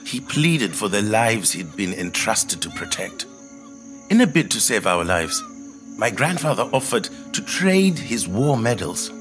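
Reflective man, expressive pitch. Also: music in the background. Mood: grave, measured. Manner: measured, reflective storytelling in short phrases. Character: reflective Zimbabwean man in middle age, quiet and thoughtful. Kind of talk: scripted first-person monologue, audio drama storytelling.

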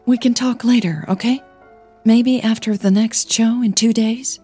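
A woman's voice: fairly high pitch, steady tone, measured pace, dark tone, slightly rough, faint music behind, pitched above the performer's own voice. A woman voicing an angry, tense woman in an audio drama, curt and clipped with an edge in her voice. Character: Millie, angry, tense young woman singer lashing out.

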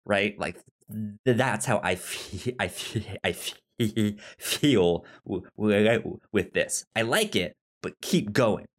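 A podcast co-host talking casually about film in a halting way, often repeating words and syllables. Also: low-pitched, expressive.

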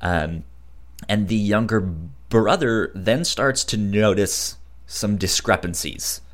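A man with a fairly low voice talks at a very slow pace in casual podcast chat. He stutters often, repeating syllables before getting the word out. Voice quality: frequent stutter, repeating syllables and blocking on words.

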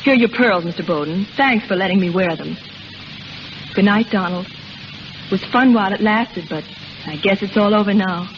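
An actress playing a sincere, wounded young shop girl in a 1930s radio melodrama, earnest and halting. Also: mid-range voice, natural voice. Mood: resigned, wistful. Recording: noisy, dull old 1930s radio recording.

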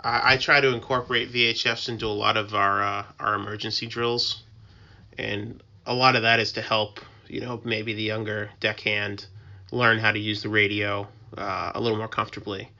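A man talking casually as a guest, explaining things informally and haltingly as he thinks aloud. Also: on the low side, level tone, bright, forceful tone, highs cut off. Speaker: tugboat mariner, podcast guest.

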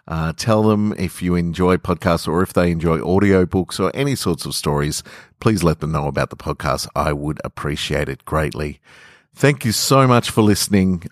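A man's voice: a bass voice, dry recording, studio quality. A man talking informally as a podcast host and story reader.

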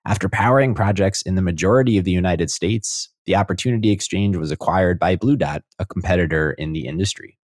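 A young adult man hosting a podcast, reading a scripted introduction and then interviewing a guest in a measured, friendly way. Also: low-pitched, no room sound, studio quality. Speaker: podcast host.

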